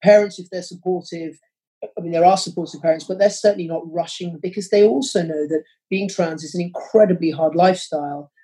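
Mellow-voiced man, passionate. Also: high voice, fairly even intonation, poor audio. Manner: passionate, quick-flowing argument. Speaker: interview guest, parent. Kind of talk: interview answers.

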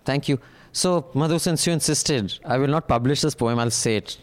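Male podcast host, brisk, moves the panel along. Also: medium pitch. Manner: brisk, steering the conversation with short interjections. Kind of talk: casual panel discussion.

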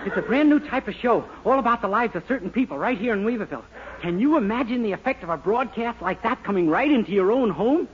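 Naive cheerful young pitchman, high voice, brash patter, old radio sitcom, muffled recording. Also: animated, fast talker. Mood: eager pitch. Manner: brash, chummy, boyish patter. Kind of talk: 1940s radio comedy acting. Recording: noisy, dull old 1940s radio recording.

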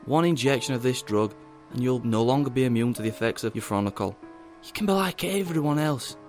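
A man's voice: medium pitch, animated, fairly quick, a little mellow, soft background music, lightly acted. A man playing a smug, menacing government agent in an audio drama, speaking with condescending emphasis. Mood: smug, persuasive.

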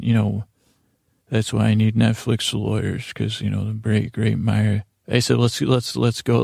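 A man hosting a bedtime podcast in a gravelly voice, with a meandering, halting monologue that keeps interrupting itself and wandering off. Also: on the low side, a little mellow, no room sound, studio quality. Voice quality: gravelly, creaky. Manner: meandering, halting, self-interrupting, trails off on tangents. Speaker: podcast host.

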